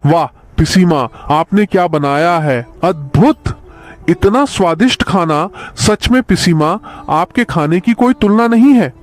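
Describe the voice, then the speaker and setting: A man's voice: high voice, animated, a little slow. A man playing an enthusiastic, appreciative family man in a cartoon story. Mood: delighted. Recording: music under the voice.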